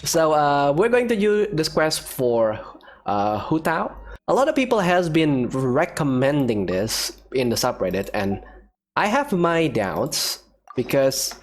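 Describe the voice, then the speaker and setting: A man giving casual let's-play commentary as a video game streamer, thinking aloud in a halting way. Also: medium pitch, a little hiss or hum. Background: faint game music.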